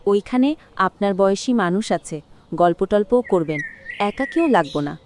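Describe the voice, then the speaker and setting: A woman's voice: mid-range voice, lively intonation, moderate pace, darkish tone, a little hiss or hum, close to an everyday voice. Woman acting a persuasive Bengali daughter-in-law. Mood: excusing, coaxing. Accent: Bangladeshi Bengali. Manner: excuse-making, persuasive. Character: busy daughter-in-law politely pushing an elder out. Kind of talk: cartoon voice acting.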